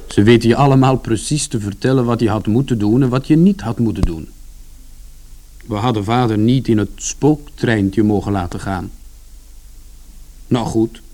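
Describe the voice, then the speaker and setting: An actor playing a chatty, wry son narrating a radio play in a colloquial, rambling, matter-of-fact way. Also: male voice, medium pitch, mellow, close to an everyday voice. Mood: defensive, musing. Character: chatty, wry working-class son recounting misadventures.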